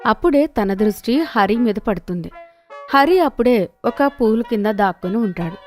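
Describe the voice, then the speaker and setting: A woman's voice: on the high side, expressive, normal speed, faint music behind. A woman telling a scripted children's story as its narrator.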